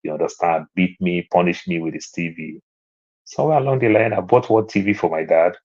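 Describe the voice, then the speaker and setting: Low-voiced Nigerian man, lo-fi online call. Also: a little fast. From Lagos, Nigeria. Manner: storytelling, climbs higher and louder when making a point. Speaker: panel speaker. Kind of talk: online panel discussion. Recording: dull lo-fi online audio.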